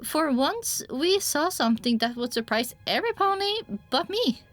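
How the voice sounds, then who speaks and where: A woman's voice: high voice, very animated, moderate pace, soft background music. A woman reading scripted first-person story narration with a descriptive storytelling delivery. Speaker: audiobook narrator.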